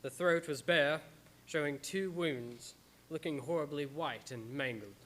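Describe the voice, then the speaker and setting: A man's voice: a little high, unhurried, a bit bright. An actor playing an anguished, devoted doctor who narrates from his journals in an old-time radio drama style. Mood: grave, narrating. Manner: stammering when distressed, dramatic.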